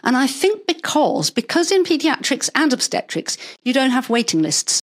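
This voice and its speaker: An older woman, a retired paediatrician, talking through her working life in a measured, explanatory way, with stories along the way. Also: high voice, big pitch swings. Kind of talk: conversational interview.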